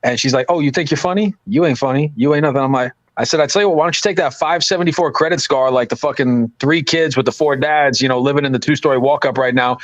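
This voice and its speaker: A man in his late thirties on a podcast, casually telling stories and building up to loud punchlines. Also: medium pitch, fast talker, few pauses, no room sound. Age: 30s. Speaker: podcast host. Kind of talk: casual banter.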